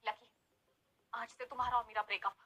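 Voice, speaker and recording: A woman's voice: fairly high pitch, steady tone, fairly quick, highs cut off, background noise, close to an everyday voice. An actress playing a scornful, materialistic young woman in a dramatized audio story. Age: young adult. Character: scornful, materialistic young woman dumping her poor boyfriend.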